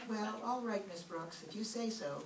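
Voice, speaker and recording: A woman plays a polite, dutiful schoolgirl in an old-time radio comedy. She sounds far from the mic in a roomy space. Noise is clearly heard behind her. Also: medium pitch, moderate pace, natural voice. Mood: polite, innocent. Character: polite, dutiful teenage schoolgirl, principal's daughter. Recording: distant echoey stage recording, clear background noise.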